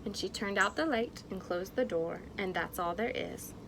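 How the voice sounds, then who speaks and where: A woman teacher doing an interactive picture-book read-aloud for young children, expressive and encouraging. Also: medium pitch, normal speed. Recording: clearly noisy background.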